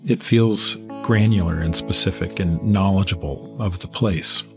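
Male podcast host, deep voice, measured and articulate, thoughtful literary interviewing, guitar music behind. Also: gravelly.

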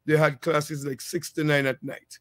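Island-raised man in his thirties, vlog host, easygoing and rambling, fairly high voice, dry close headset sound. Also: moderate pace, no echo. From a small island. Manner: relaxed, rambling, many fillers. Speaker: channel host, HVAC technician. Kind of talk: casual advice vlog.

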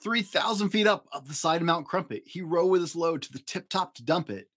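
Animated man reading a storybook. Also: on the high side, a little fast. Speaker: children's story reader. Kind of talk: expressive storybook narration in rhyme. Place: small home room, indoors.

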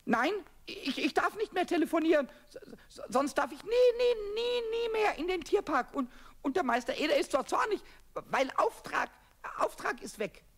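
An actor playing a small, cheeky, childlike kobold in a children's radio play, squeaky, stammering and repeating words excitedly. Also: male voice, moderate pace, gravelly, heavily acted. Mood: anxious, pleading. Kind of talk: 1970s German children's radio play acting.

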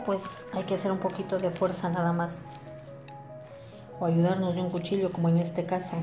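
A woman's voice: on the low side, dark tone. Woman explaining a craft in a roomy space. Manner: explanatory, addressing viewers directly. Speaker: craft tutorial presenter. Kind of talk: informal hands-on tutorial narration. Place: small domestic room indoors. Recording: roomy echo, music behind.

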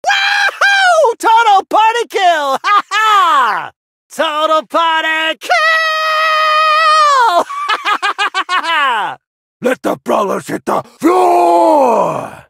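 A theatrical dragon-riding knight from a video game, full of heavy-metal swagger. The voice is pitched high and swings widely up and down. Battle cries are shouted and drawn out with brash bombast. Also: sing-song, extremely slow, heavily acted. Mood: gleeful.

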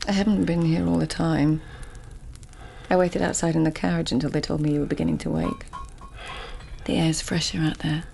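Low, creaky, determined lady-in-waiting. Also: steady tone, mellow, slight background noise. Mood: gentle. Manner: measured, formal, reflective. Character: poised, determined, devoted Japanese lady-in-waiting. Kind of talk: radio drama acting and narration.

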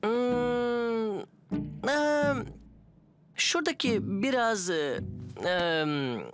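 Slow, hesitant cartoon builder. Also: male voice, extremely high pitch, expressive, exaggerated voice. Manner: hesitant, mild. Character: good-natured, hesitant male builder boss. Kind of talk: children's cartoon dub. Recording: music behind the voice.

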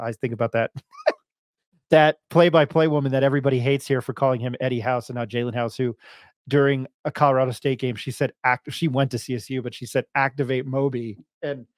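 A man's voice: mid-range voice, fairly even intonation, fast talker, dry recording. A middle-aged man hosts a casual sports podcast. His talk is slangy and irreverent, in a mid-range voice. The sound is dry and close, from a home studio. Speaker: podcast host. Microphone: close podcast mic on boom arm.

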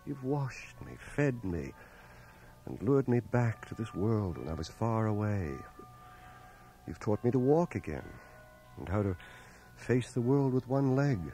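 Give this reserved young war veteran a mellow voice with lively rises and falls. He is earnest and self-doubting, picking his words with care in a radio drama taped on cassette, with faint music. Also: mid-range voice, rough recording. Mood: earnest, tender. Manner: measured, hesitant, intellectual. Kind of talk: 1980 radio drama acting and first-person narration.

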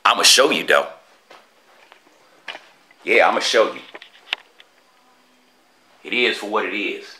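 Brief: fifties man, handheld camera in a ruined building, low voice, lively rise and fall, a faint hiss behind. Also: takes it slowly, long pauses. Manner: preachy and indignant, slipping into hushed asides. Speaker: street vlogger. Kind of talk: walking tour vlog. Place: abandoned building, indoors.

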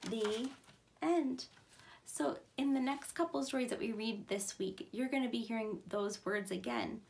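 A woman in her thirties speaks in a fairly high voice with a noticeable creak. She reads aloud like a preschool teacher, keeping her phrases simple and stressing key words for small children. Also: unhurried, darkish tone, a little hiss or hum. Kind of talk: read-aloud for young children.

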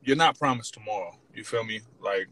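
A man's voice: on the low side, fairly quick, pushed and bright, low-quality recording. A young man hosting a phone livestream from his car, laid-back and slangy. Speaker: livestream host. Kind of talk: casual chat. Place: parked car.

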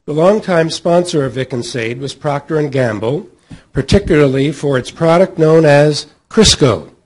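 A middle-aged American man with a husky voice, reading a scripted introduction as a panel moderator. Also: on the high side, animated, normal speed, low-quality recording. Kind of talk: scripted introduction read aloud. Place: hotel meeting room at a convention. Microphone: table microphone on a stand.

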